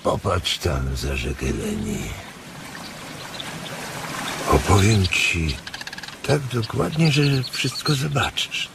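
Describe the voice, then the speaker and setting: An actor playing a weary, protective, sorrowful farmhand in a radio drama, speaking in a halting, tender way. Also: male voice, sits low, sing-song, gravelly, full character voice. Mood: tender, sad. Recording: noisy nature ambience behind.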